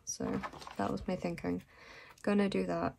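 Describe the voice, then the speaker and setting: A young woman who is sick and losing her voice, talking softly in a rambling casual voice-over about her journal. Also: on the low side, level tone, background noise. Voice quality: hoarse. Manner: soft, unhurried and rambling with little laughs. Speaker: vlogger.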